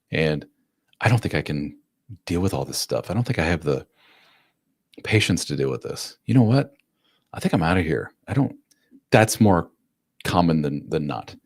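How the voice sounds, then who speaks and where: A man's voice: deep voice, no echo, clean studio sound. A man in his late forties, a relationship coach answering chat questions live in a casual, plain-spoken way. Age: 40s. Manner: casual, plain-spoken, acts out quotes in a raised voice. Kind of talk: live-stream Q&A.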